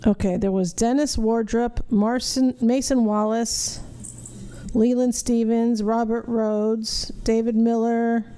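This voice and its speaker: Middle-aged female podcast host, mellow and unhurried, blunt and casual. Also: fairly high pitch, steady tone, slight background noise. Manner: blunt, profane. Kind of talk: casual watch-along commentary.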